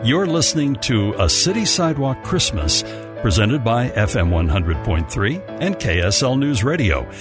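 A man's voice: mid-range voice, sing-song, moderate pace, music in the background. A man narrating a radio drama from a script as a storyteller.

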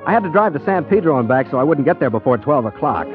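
An actor playing an affable, easygoing private detective in 1940s radio style, chatty and a little hesitant around women. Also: male voice, fairly high pitch, very animated, fast talker. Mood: breezy. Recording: tinny 1940s radio recording, music.

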